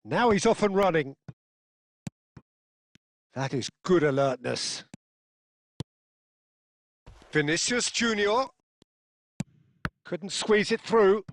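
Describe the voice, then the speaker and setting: Male game commentator, dramatic football play-by-play, high pitched, very dry studio sound. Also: lively intonation, slow, pauses often, no echo, exaggerated voice. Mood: engaged, dramatic. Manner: dramatic, punchy phrasing.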